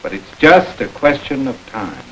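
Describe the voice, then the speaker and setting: An actor playing a firm detective who speaks bluntly and steadily, in a 1940s film. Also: male voice, medium pitch, very animated, a little slow, pushed and bright, slight background noise, poor audio. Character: firm male detective, adult.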